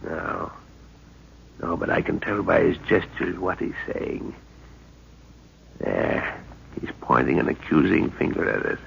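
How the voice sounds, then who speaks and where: A middle-aged actor playing a stern male father leading a jungle expedition in a 1930s radio drama, gruff, commanding and scornful. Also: sits low, animated, a little slow, long pauses, exaggerated voice. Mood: tense. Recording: dull old radio recording with hiss.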